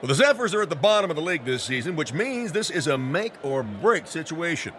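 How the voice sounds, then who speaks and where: An actor playing a peppy, folksy American baseball play-by-play announcer in a radio drama. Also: male voice, a little high, big pitch swings, a little fast, fairly bright tone, slight background noise. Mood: chatty, cheerful. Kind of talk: sports commentary in radio drama.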